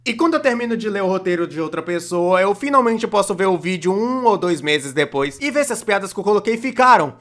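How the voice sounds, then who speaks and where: A Brazilian performer dubbing a young cartoonist who narrates an animated comedy video in a conversational, self-deprecating, complaining way. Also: medium pitch, a little fast, hardly any pauses, close to an everyday voice. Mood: wry, animated. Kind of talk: scripted comedic storytime narration, fan dub.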